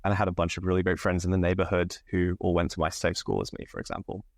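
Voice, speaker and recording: A man in his thirties with an English accent, slightly northern, telling stories from his life as a podcast guest, relaxed with lots of fillers. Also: low voice, quick pace, creaky, no echo, clean studio sound. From England. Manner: relaxed, many fillers, laughs often. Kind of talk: candid conversational storytelling.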